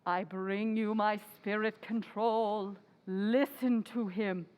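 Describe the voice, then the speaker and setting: An actress playing a bossy, pompous society hostess who fancies herself a medium, in old-time radio drama style with grand theatrical delivery. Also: female voice, medium pitch, steady tone, slow, noisy background, lightly acted. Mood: solemn, theatrical. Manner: imperious, theatrical, grandly intoning. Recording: echoey hall, live audience behind.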